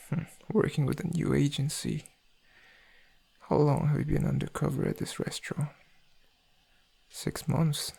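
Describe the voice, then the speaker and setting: Man acting a slow, gravelly, smug thief. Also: fairly high pitch, warm tone, full character voice. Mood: teasing, fond. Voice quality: gravelly, husky.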